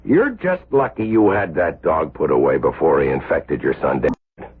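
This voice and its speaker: A man's voice: extremely low pitch, animated, moderate pace, husky, full character voice. An actor playing an intense, earnest male surgeon with emphatic delivery in an American 1970s radio drama. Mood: stern, accusing. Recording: muffled, lo-fi old radio broadcast.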